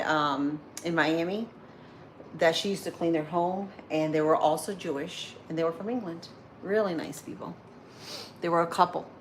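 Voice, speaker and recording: Woman, 30s, Spanish-influenced accent, lower voice; rambling, friendly livestream host reading out comments. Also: fairly bright tone, slight background noise, rough recording. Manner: chatty, rambling, answers viewer comments as she goes. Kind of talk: casual morning livestream chat while cooking.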